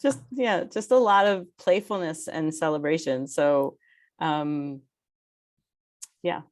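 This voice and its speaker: Female panel guest, slightly low pitch, casual, tentative delivery with searching phrases. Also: slow, a little mellow.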